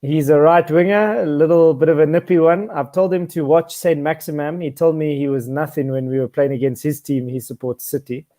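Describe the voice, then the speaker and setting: Man, coach, slightly high voice, playful, dry sound. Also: fairly quick, few pauses, no room sound. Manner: playful, animated anecdotes. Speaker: co-host, football coach. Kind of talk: casual storytelling.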